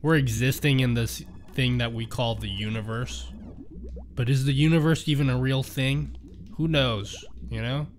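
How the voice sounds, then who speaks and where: A man's voice: medium pitch, steady tone, moderate pace, a little hiss or hum. A man streaming a game, chatting casually and joking with his viewers as he reads their messages aloud. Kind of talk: casual chat while playing a game.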